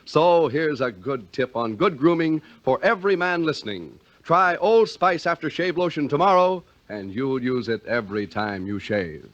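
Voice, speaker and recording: A man's voice: a little high, lively intonation, normal speed, hardly any pauses, fairly bright tone, no echo. A man working as a 1950s radio announcer, reading scripted copy in a polished, theatrical, emphatic way. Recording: dull vintage radio recording.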